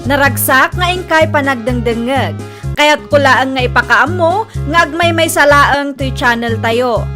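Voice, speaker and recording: High, slow woman narrator over loud music. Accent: Ilocano. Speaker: host and narrator. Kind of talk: scripted radio drama narration.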